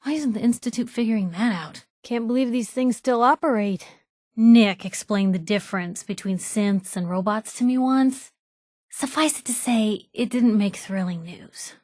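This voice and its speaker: A woman's voice: on the high side, moderate pace, darkish tone, dry recording, clean studio sound, lightly acted. An actress playing a plucky young woman reporter, a video game companion with a wry, conversational, sardonic way of talking. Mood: wry, chatty.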